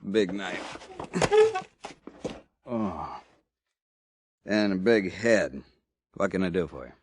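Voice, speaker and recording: A gruff frontier newspaperman. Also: sits low, lively intonation, takes it slowly, slight background noise. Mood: wary. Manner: gruff casual drawl. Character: hungover frontier newspaperman, blunt and wary. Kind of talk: 1950s radio drama acting.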